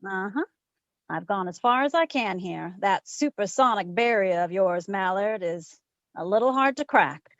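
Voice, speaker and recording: A woman's voice: medium pitch, animated, normal speed, no echo, close to an everyday voice. A woman playing a breezy, flirtatious female private eye, trading quips in a 1940s-style radio drama. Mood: flirting. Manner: flip, teasing banter. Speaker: radio drama lead and narrator. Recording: slightly dull lo-fi recording.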